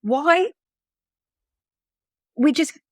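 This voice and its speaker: An older woman being interviewed, talking reflectively and haltingly, repeating phrases as she searches for words. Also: high-pitched, darkish tone, no room sound, studio quality. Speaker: interview guest, author and psychologist. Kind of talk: reflective personal conversation.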